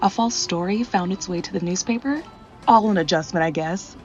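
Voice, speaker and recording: A woman's voice: on the low side, brisk, muffled recording, soft background music, natural voice. A woman playing an earnest, fretful department store manager in a 1940s radio acting style. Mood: uneasy.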